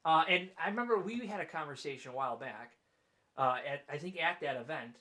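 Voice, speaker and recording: A man's voice: a little high, expressive, fairly quick, sharp tone. A man hosting a casual interview, chatty and loose, with frequent fillers and restarts. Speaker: podcast host.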